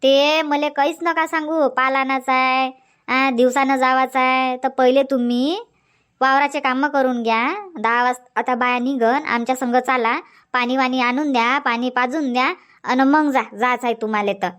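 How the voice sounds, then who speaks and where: A woman's voice: sits high, fairly even intonation, moderate pace, fairly bright tone, smooth. A woman voicing a nagging middle-aged village farm wife in a comic cartoon, scolding. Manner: scolding, insistent, long rants. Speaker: cartoon voice actor.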